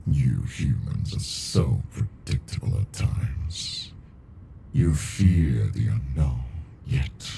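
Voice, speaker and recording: A man voicing an ancient, arrogant captive alien in an ASMR monster roleplay, murmuring with slow, amused menace and condescension in a deep, gravelly voice. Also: dark tone, slight background noise, heavily acted.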